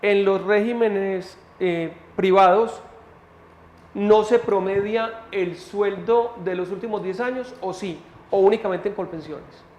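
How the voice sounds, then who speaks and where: Chatty man in his fifties, high voice. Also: measured pace, dull audio. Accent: Colombian Spanish. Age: 50s. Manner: chatty, repeats himself, gives personal examples. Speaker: co-host. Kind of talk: casual talk-show questioning. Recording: echoey studio with faint noise.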